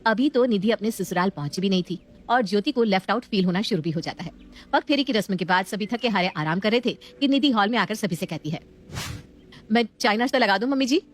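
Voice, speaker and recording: A woman narrates a scripted domestic story at a quick clip. Her voice sits at an ordinary middle pitch for a woman as she tells the tale. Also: lively intonation.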